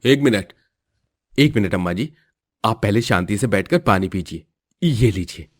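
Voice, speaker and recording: An actor playing a calm, authoritative village police inspector in cartoon dialogue, reassuring in manner. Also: male voice, on the low side, moderate pace.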